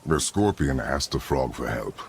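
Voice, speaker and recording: Casting: stern warrior father in a game drama, extremely low gravelly register, deadpan and formal, faint noise behind. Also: unhurried, full character voice. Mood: grave storytelling. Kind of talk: dramatic video game acting.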